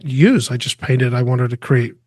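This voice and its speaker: A man's voice: mid-range voice, darkish tone, dry recording. Middle aged guy, earnest, keeps restarting, dry close mic. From England, raised in Spain. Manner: earnest, halting, with frequent restarts. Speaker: artist and guest. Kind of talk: conversational interview answers.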